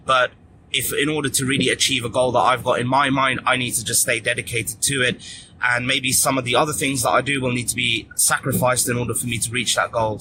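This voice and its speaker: A man talks through a solo podcast update in a relaxed, earnest way, his pitch staying fairly even throughout. He sits mid-range in pitch. Clear background noise and ambience run underneath his voice. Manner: relaxed and earnest, with fillers and restarts. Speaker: podcast host. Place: at the gym.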